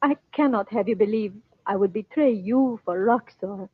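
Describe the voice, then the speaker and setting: An actress playing an anxious, devoted Egyptian princess in an old-time radio drama, with formal, foreign-sounding phrasing. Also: female voice, mid-range voice, normal speed, husky, close to an everyday voice. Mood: earnest, urgent. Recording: thin, roomy, phone-like old radio recording.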